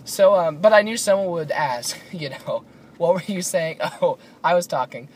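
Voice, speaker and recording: A man hosting a podcast while driving, his voice raspy from being sick, chatting casually and rambling with lots of fillers. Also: fairly high pitch, animated, moderate pace, darkish tone. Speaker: podcast host. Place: inside a moving car. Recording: road noise in a car.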